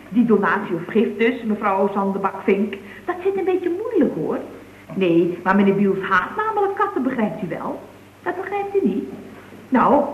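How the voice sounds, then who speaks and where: A woman's voice: medium pitch, lightly acted. A woman playing a chatty, cheeky office secretary in a radio comedy around 1970, gossipy and full of tag questions. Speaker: actress in a radio comedy serial. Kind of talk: 1970s Dutch radio comedy acting. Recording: dull, echoey, noisy vintage radio recording.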